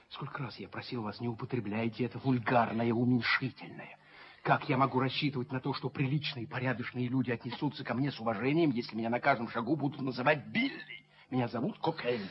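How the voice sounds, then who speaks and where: A man playing a prim, pompous gentleman in a radio drama, moralising with theatrical indignation. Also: medium pitch, close to an everyday voice. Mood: huffy, pompous. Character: pompous, prim gentleman scolding his friend. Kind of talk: Soviet radio drama acting. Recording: dull, noisy vintage radio-play tape.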